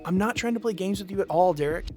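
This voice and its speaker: Earnest, higher-pitched young man played by an actor. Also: quick pace, darkish tone, faint music behind, close to an everyday voice. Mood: earnest, defensive. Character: earnest young man pleading for patience and trust. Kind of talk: conversational audio drama acting.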